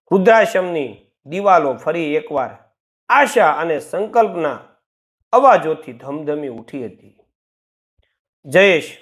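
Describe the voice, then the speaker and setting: A man narrates a moral story with dramatic expression. His voice swings widely in pitch, giving the telling a lively, sing-song shape. It sits a little higher than most men's.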